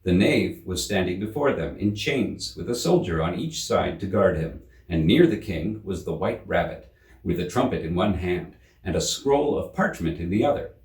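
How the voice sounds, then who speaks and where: A man reading a children's story aloud as an expressive storyteller. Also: low voice, normal speed. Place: indoor room. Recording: roomy, slightly distant microphone.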